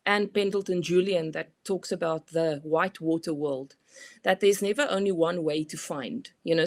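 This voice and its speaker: A middle-aged woman with a South African accent, a guest expert explaining her ideas in a thoughtful, conversational way with many "you know" fillers. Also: lowish voice. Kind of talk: conversational interview.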